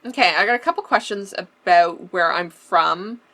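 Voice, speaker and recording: A Canadian woman with a slightly lower-pitched voice talks casually, answering viewer questions one by one. Her accent is mild, and traces of an old lisp slip into some words. She laughs easily and often restarts her phrases. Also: moderate pace, pushed and bright. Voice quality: faint lisp remnant. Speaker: vintage-style vlogger. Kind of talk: casual Q&A chat.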